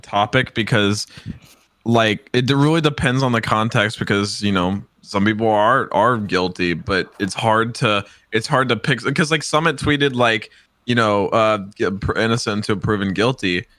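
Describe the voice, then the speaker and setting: A man co-hosting a casual podcast, explaining things in a halting, careful way with repeated starts. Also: on the low side, fairly bright tone, no room sound.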